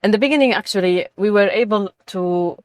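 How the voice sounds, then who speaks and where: A woman's voice: medium pitch, fairly quick, darkish tone, no echo. A middle-aged woman from Lebanon giving conversational, enthusiastic answers as an interview guest on research work.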